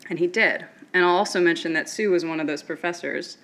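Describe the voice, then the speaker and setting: A young woman telling a personal story from a podium on stage, earnest and steady. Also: lowish voice, a little fast.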